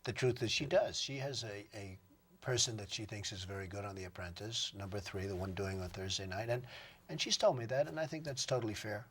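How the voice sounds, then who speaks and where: A man with a husky, slightly breathy voice rattles on at a quick clip. He brags and repeats himself as a guest on a TV interview. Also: fairly low pitch, fairly bright tone. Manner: boastful, repetitive, rambling. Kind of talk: casual TV interview chat.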